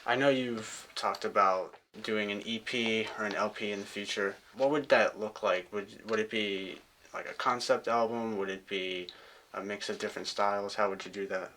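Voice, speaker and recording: Brief: male interview host, lowish voice with a forceful edge, asks brief probing questions. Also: fairly even intonation, normal speed, a little hiss or hum. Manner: short probing questions, attentive.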